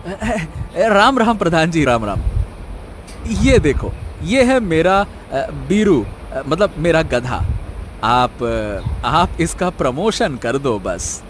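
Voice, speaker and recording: A scheming, foolishly hopeful cartoon villager. His high voice swings widely and draws words out slowly, full of theatrical exclaiming, with faint noise in the background. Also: very animated. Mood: pleading. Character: bossy, scheming, foolishly hopeful village man, donkey's owner. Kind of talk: comic animated story dialogue.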